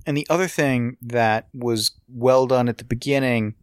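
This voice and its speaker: A man with a mid-range voice co-hosts a casual review podcast. He rambles a little, halting and doubling back on words as he builds his point. The sound is dry, with hardly any room to it. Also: mid-range voice, clear, resonant tone, dry recording. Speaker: podcast co-host.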